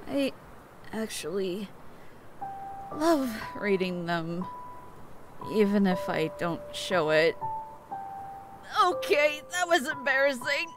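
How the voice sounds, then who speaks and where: A woman's voice: medium pitch, sing-song, extremely slow, pauses often, music in the background. A woman voicing a young pony queen who opens up shyly, in a fan audio drama. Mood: sheepish. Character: young pony queen, guarded but warm. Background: musical score.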